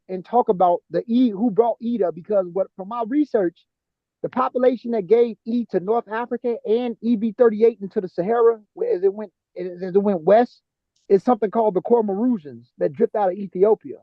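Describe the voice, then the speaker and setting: A man's voice: high-pitched, brisk, no room sound, dull audio. A man co-hosting a casual podcast talk, eagerly working through genetic ancestry terms with many restarts.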